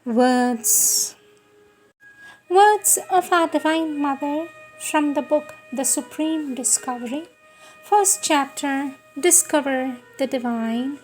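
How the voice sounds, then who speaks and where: A woman with a fairly high voice reads spiritual text very slowly. Her delivery is solemn and deliberate, shaped as a devotional reading. Soft music plays behind her. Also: poor audio. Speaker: reader of spiritual texts.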